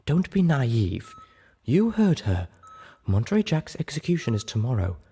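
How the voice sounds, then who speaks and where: A man's voice: medium pitch, very animated, a little slow, mellow, breathy edge, voice pushed up, heavily acted. A man voicing a heartbroken, refined female pony, pained yet firm and reproving, in a storybook reading. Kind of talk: audiobook character voice in storybook reading.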